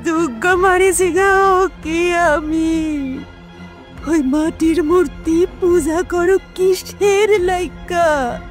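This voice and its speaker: Slow, high-pitched possessed demon girl. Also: faint music behind, exaggerated voice. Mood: taunting, wailing. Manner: slow drawn-out taunting, menacing. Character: possessed village girl, vengeful demoness, taunting and wailing. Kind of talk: audio horror story acting.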